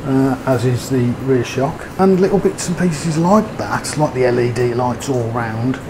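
A man's voice: mid-range voice, a little slow, background noise. A man giving a casual, rambling motorbike review, with fillers and hesitations. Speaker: motovlogger.

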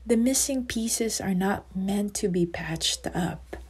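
Woman voicing a very slow, sad storybook snail. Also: medium pitch, a little mellow, slightly rough. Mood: gentle, accepting. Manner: slow, tender, subdued. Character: sad, gentle grieving snail with hidden wounds.

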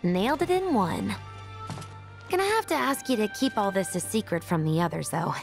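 A bright, teasing young rebel, very lively. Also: female voice, medium pitch, moderate pace, creaky, soft background music. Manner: teasing, breezy. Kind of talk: video game voice acting.

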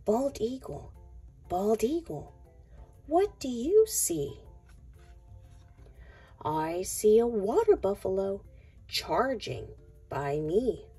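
A woman reading a picture book aloud to young children in a deliberate, sing-song way. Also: mid-range voice, sing-song, dark tone, slightly rough, faint music behind. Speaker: children's read-aloud narrator.

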